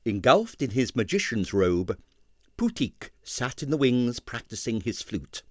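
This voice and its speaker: Guy narrating an audiobook, really animated delivery, dry close sound. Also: mid-range voice, unhurried, dry recording. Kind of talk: dramatic scripted narration of a comic novel.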